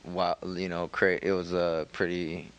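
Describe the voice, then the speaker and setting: A man chatting casually as a discussion participant, in a halting, loosely worded way. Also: sits low, flat delivery, fairly bright tone, clean tone. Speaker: participant answering the host. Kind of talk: casual chat.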